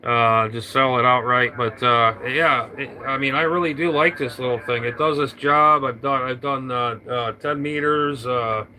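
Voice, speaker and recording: Rambling man with a bright voice. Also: medium pitch, steady tone. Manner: rambling, halting with many fillers and restarts. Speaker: radio operator. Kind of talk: casual radio chat. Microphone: vintage hand mic on a radio.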